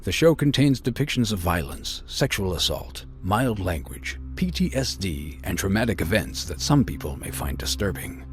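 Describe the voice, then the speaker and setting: A man's voice: deep voice, big pitch swings, normal speed, few pauses, faint music behind. A man reading scripted announcements as a podcast announcer. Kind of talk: scripted narration.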